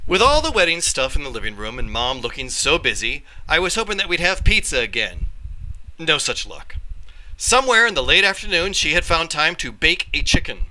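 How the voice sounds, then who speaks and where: A man reading a children's story aloud in an animated, expressive way, with dramatic pauses. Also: on the high side, slight background noise, low-quality recording. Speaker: read-along storyteller, teacher.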